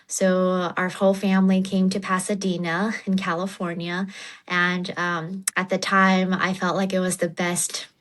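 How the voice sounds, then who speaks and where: A woman from China in her thirties speaks as an interview guest, sharing personal experience. Her voice is a little lower than most women's, and her phrasing is informal and halting. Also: little pitch movement, fairly bright tone, slight background noise. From China, partly United States. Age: 30s.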